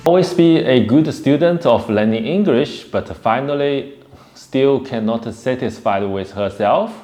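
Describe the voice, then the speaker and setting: A man's voice: medium pitch, unhurried, dark tone, faint music behind. A man hosting a casual video commentary, talking in a rambling, hesitant way. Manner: rambling and hesitant, with frequent restarts. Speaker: host.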